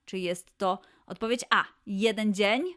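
A woman presenting a children's quiz as host, posing questions and answers in a clear way for young listeners. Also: mid-range voice, fairly even intonation, unhurried.

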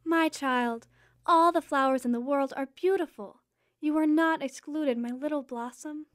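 A woman playing a kindly, quirky goddess queen of spring in an audio drama, nurturing and gently formal. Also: sits high, unhurried, dry recording, studio quality.

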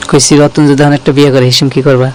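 A man's voice: medium pitch, flat delivery, very fast, darkish tone. A man with a Bangladeshi accent in an intimate, casual phone chat, talking in a coaxing, romantic way. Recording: noisy phone call recording.

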